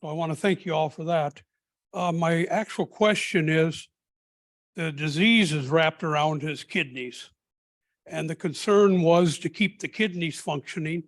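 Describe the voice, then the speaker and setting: A man in the audience thanks everyone and then asks the panel a question. His voice sits somewhat high for a man. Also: a little slow. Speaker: audience member asking a question. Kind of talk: question from the floor.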